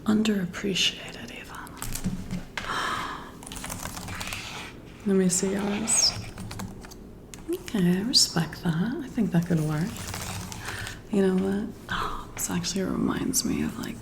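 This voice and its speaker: A woman playing a friendly, teasing boss working late, in a breathy, intimate, soft-spoken ASMR roleplay. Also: medium pitch, animated. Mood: playful. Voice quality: breathy, whispery. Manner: slow, intimate, conversational. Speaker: ASMR performer.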